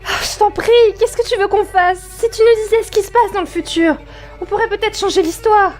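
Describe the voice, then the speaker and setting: A woman plays a distressed, anxious wife in an audio drama. Her voice is extremely high, and music plays underneath. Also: brisk, a little mellow. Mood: wary, exasperated. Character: distressed wife torn between two versions of husband. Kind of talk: amateur audio drama acting.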